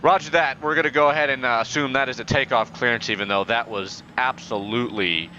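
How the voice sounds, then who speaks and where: A young man playing a pilot in a flight game, using formal radio phrasing in a deadpan, increasingly exasperated way over a headset. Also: mid-range voice, brisk, lo-fi sound, slight background noise. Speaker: pilot player in a flight sim. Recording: game audio in background.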